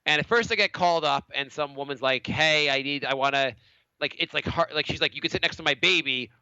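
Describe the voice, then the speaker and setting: Chatty podcast guy, bit stuffy from a cold, quick and animated, lo-fi dry sound. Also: fairly high pitch, clear, resonant tone, no echo. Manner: animated, acts out other people's lines in a raised voice. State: slightly congested from a cold. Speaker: podcast co-host. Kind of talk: casual storytelling chat.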